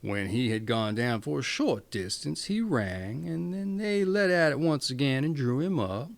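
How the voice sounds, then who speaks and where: A man's voice: medium pitch, very animated, unhurried, gravelly. A man reading a fairy tale aloud as a storyteller, with theatrical, emphatic delivery. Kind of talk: expressive scripted fairy-tale narration.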